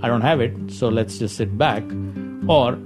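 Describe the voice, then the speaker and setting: A man with an Indian accent answers interview questions as a company executive. His medium-pitched voice moves haltingly through informal explanations, doubling back on words, with faint music behind it.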